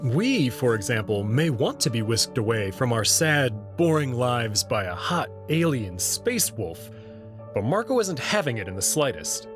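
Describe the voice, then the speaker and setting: A man serves as narrator, reading from a script. His mid-pitched voice moves with lively intonation, and music plays behind him. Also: unhurried. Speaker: narrator and reader.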